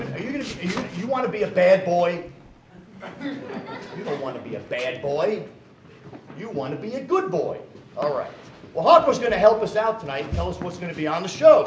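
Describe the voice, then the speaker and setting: A man emceeing a live variety show, announcing acts with showy energy and telling jokes. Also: high-pitched. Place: live stage before an audience. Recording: echoey live hall with crowd.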